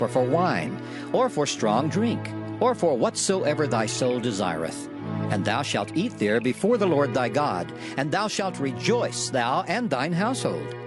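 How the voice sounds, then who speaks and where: A man giving a solemn, measured, declamatory reading of scripture in a dramatized production. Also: mid-range voice. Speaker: scripture reader. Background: background music.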